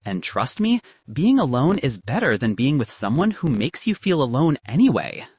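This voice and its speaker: A man's voice: mid-range voice, wildly swooping pitch, moderate pace, darkish tone, slightly rough, dry recording. A man voicing a candid, wry young woman physicist. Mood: frank, serious. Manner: dry, frank, advice-giving.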